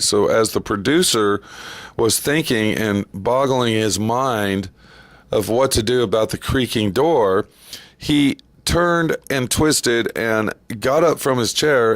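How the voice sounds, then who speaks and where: Slow-talking man introducing old radio. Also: fairly low pitch, no echo. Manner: unhurried, relaxed with small asides. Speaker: channel host introducing a vintage radio episode.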